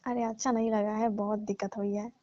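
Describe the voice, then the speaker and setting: A woman's voice: medium pitch, little pitch movement, fairly quick, warm tone, no echo. A woman chatting casually and explaining herself in a comic phone conversation. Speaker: caller playing a farm owner. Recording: dull lo-fi phone call recording.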